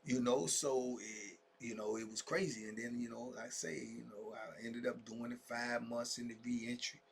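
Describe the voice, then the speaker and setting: A man's voice: mid-range voice, flat delivery, normal speed, creaky, slight background noise. A man in his fifties telling his own story to camera in a casual monologue, full of street slang and fillers like "man" and "you dig", sometimes dropping to a whisper. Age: 50s.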